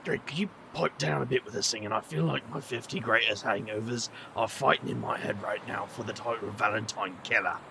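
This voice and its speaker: An actor playing a weary, sardonic adventurer with a dry manner in an audio drama. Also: male voice, lowish voice, brisk, vocal fry, hardly any pauses, noisy background. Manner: dry, world-weary, sarcastic. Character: weary, sardonic supernatural adventurer with family baggage.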